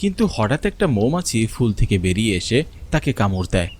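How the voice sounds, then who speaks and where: A man's voice: lowish voice, big pitch swings, fast talker, darkish tone, slight background noise. A man reading scripted narration for a fairy-tale story.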